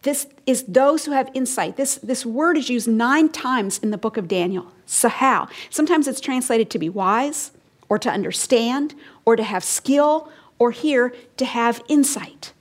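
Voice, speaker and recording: A woman preaching through a passage line by line, her voice sitting a bit high. She presses her points with emphasis, lifts and drops her tone, and sometimes falls almost to a whisper. She asks her listeners questions and answers them herself. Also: expressive, measured pace. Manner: emphatic, rising and falling, drops to hushed lines. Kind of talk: expository sermon.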